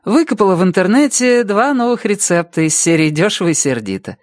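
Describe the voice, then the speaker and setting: A woman's voice: mid-range voice, lively intonation, fairly quick, darkish tone, dry recording, studio quality, voice pushed up, close to an everyday voice. A woman voicing a spirited, stubborn wife who teases her husband in a playful, indignant way. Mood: teasing.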